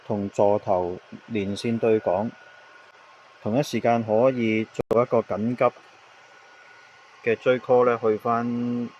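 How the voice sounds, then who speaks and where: A man with a Hong Kong Cantonese accent giving an unscripted product demonstration, explaining in halting phrases with English words mixed in. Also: on the low side, lo-fi sound, a little hiss or hum.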